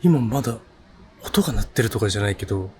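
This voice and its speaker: A frightened man hosts a casual paranormal vlog from an outdoor spot at night. His voice swings widely in pitch as he exclaims and mutters, jumpy and easily spooked. Clear noise runs through the outdoor recording. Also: expressive, quick pace, a little mellow. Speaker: ghost-spot explorer and video host. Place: outdoors on a suspension bridge at night. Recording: noisy outdoor location sound.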